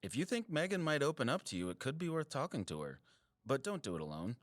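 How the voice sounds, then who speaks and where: An actor playing an earnest, caring male wildlife surveyor in an audio drama, casual, sincere and expressive. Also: mid-range voice, fast, no room sound, clean studio sound, close to an everyday voice. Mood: concerned, curious. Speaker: habitat surveyor.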